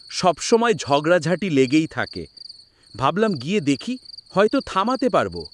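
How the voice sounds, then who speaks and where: Guy as young nephew narrating a spooky cartoon, high voice, very expressive. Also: slight background noise. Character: uneasy young nephew telling his ghost story. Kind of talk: first-person horror story narration.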